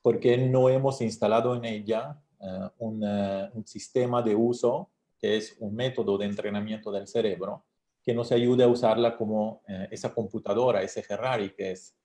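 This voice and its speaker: A man from Switzerland whose first language is not Spanish, a coach speaking as a radio interview guest with frequent pauses and fillers. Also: lowish voice, steady tone, warm tone. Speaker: guest, brain-training coach.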